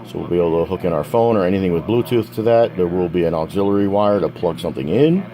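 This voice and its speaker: A man's voice: low-pitched, lively intonation, fast. An American man giving casual, unscripted narration of a hobby project, rambling conversationally with asides. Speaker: hobbyist presenting a DIY project. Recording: background chatter and hum from playing radio. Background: old AM radio playing with a loud hum.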